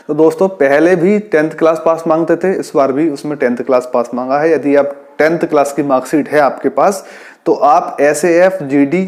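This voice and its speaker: A man's voice: fairly high pitch, darkish tone. A man speaks as an online exam guide. He explains things informally and instructively, going back over key points so listeners can follow. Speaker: police radio branch employee presenting an exam-guidance channel. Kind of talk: informal explainer addressed to job aspirants.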